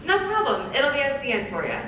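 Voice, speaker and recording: A woman's voice: big pitch swings, brisk, a bit bright. A woman acting a friendly, apologetic cafe barista with a chirpy service manner in a dramatic reading. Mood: cheerful. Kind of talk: dramatic script table reading. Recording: echoey hall, far from mic, rumble.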